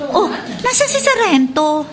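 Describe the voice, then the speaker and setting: A woman's voice: very high-pitched, sing-song, a little slow, heavily acted. An actress playing a jolly, encouraging Filipino woman teacher in a radio drama. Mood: cheerful, proud. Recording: crowd murmur behind.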